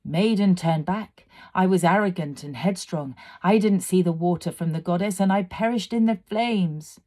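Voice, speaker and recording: A woman's voice: lowish voice, moderate pace, warm tone, pitched above the performer's own voice, lightly acted. A woman voicing a pale, regretful ghost in a storybook, wailing mournfully in warning. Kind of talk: dramatised storybook reading.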